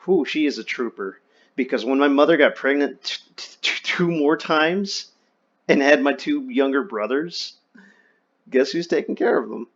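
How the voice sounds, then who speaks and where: Young man, mid-pitched, casual profane storyteller with wry frankness, dull voice-chat sound. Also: expressive, moderate pace. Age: young adult. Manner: candid, wry, slangy and profane. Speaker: friend telling his life story. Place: online VR voice chat.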